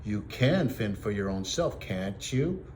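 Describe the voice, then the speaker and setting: A man voicing a streetwise, gruff but soft-hearted orphan boy in rough street talk, in a storybook reading. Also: lowish voice, sing-song, slow, a little hiss or hum. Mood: defiant.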